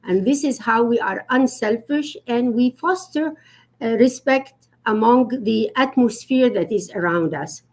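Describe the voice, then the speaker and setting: A woman's voice: a little high, expressive, unhurried. A woman speaking as an etiquette expert in a live-stream conversation, explaining earnestly with frequent fillers.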